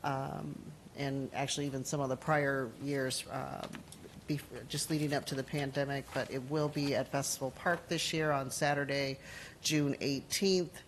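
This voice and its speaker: Halting councilwoman with a desk mic. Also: rumbling low, level tone, a little hiss or hum, low-quality recording. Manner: halting, many ums and uhs. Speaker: city council member. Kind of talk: formal meeting announcements. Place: council chamber. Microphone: desk gooseneck mic.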